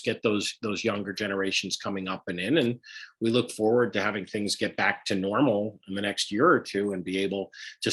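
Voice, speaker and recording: A man speaking for an art platform, giving enthusiastic but halting opening remarks over a video call. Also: low voice, pushed and bright, husky. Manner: enthusiastic, halting, repeats words.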